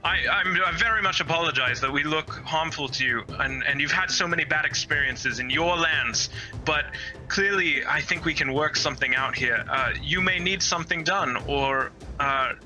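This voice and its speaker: Diplomatic feline adventurer, played by a male gamer: very bright forward voice, courteous, conciliatory, faint music behind. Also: a little high, poor audio. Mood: apologetic, conciliatory. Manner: polite, conciliatory, halting. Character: gentle, diplomatic adult cat-man adventurer.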